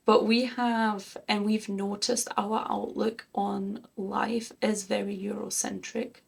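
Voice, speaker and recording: A woman's voice: medium pitch, flat delivery, takes it slowly, vocal fry, husky. A woman who grew up in Germany, co-hosting a music reaction chat and thinking aloud in an earnest, casual way. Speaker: co-host of a music reaction channel.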